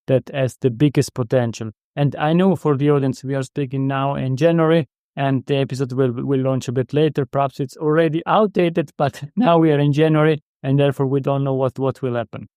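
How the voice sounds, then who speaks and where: A man who is not a native English speaker, hosting a conversational interview in a polite, halting way with repeated words. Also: medium pitch, fairly even intonation, mellow, smooth, no room sound, clean studio sound. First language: not English or German. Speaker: podcast host interviewing a guest.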